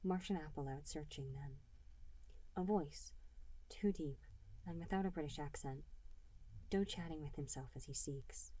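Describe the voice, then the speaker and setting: A woman reading scripted fan fiction as an expressive narrator. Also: deep voice, unhurried, pauses often, poor audio. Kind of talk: expressive scripted story narration with character dialogue.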